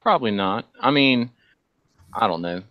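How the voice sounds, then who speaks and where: Muffled low-voiced man, laid-back. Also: expressive, moderate pace. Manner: laid-back, swears freely, agreeable. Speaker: co-host of a casual stream. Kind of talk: casual banter. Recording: dull, lo-fi voice chat.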